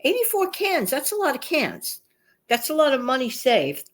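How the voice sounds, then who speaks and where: A woman's voice: high-pitched, huge pitch swings, normal speed. A woman with a slightly nasal voice hosting a casual, chatty vlog, speaking emphatically and repeating phrases for effect. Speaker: channel host.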